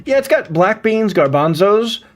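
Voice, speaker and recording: A man's voice: high voice, expressive, a little slow. A man in his thirties chatting casually as a live streamer, rambling and often repeating his phrases. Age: 30s. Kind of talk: casual chat with viewers while gaming.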